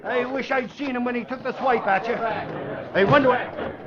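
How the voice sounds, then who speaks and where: High-pitched excitable reporter. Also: male voice, normal speed. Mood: flustered. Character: excitable, grumbling male newspaper reporter. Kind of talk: old-time radio acting. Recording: dull vintage radio recording with crowd.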